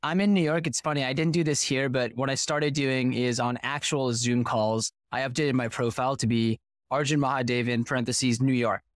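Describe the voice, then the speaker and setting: A man in his thirties, a startup founder, telling stories in an articulate, animated way as a podcast guest on a video call. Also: mid-range voice, moderate pace, no room sound, studio quality. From United States. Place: small room with curtain backdrop. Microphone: headset with close mic.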